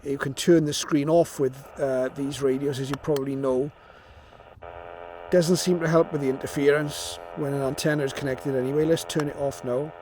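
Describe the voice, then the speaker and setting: A man's voice: medium pitch, steady tone, moderate pace, a little mellow, a little hiss or hum. A man giving an informal, matter-of-fact product demonstration, explaining things as he goes. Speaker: presenter of a radio receiver review.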